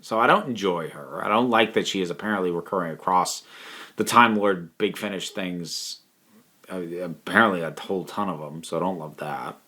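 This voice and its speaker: A man giving a casual spoken review, thinking aloud in a halting, thoughtful way with emphatic stresses on key words. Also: low-pitched, pushed and bright. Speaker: online reviewer.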